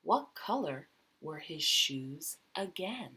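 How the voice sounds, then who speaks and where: A woman reading a children's picture book aloud expressively, stretching key words and dropping into quieter asides with questions for young listeners. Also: deep voice, sing-song, slow, breathy edge.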